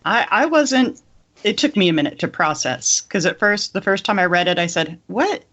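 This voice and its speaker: A woman's voice: mid-range voice, lively intonation, moderate pace, fairly bright tone. A woman hosting a podcast in a casual, chatty style. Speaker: podcast host. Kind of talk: casual chat. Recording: slightly dull, lo-fi audio.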